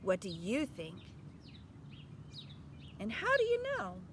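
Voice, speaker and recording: A woman's voice: medium pitch, huge pitch swings, takes it slowly, a little hiss or hum. A woman reading a storybook aloud expressively as a teacher, stopping to put instructive questions to young listeners.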